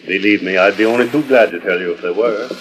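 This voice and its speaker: An actor playing a polite, sympathetic American frontier cattle buyer in a measured, formal 1940s radio style. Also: male voice, fairly low pitch, fairly bright tone, close to an everyday voice, poor audio. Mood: regretful. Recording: old transcription disc with faint noise.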